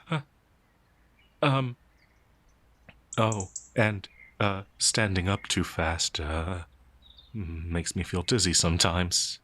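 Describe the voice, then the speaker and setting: Timid werewolf outlaw, male performer: low register, unhurried, flustered and hesitant, soft-natured, fantasy audio roleplay. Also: a little hiss or hum. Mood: timid, confiding. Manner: hesitant, stammering, apologetic, flustered. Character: gentle, timid, anxious male werewolf outlaw, easily frightened.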